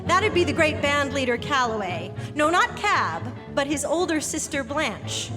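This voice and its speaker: A woman's voice: high voice, sing-song, unhurried. A woman host giving scripted narration from a theatre stage. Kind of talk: scripted narration to a live audience. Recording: reverberant theatre with jazz underscoring. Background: jazz music.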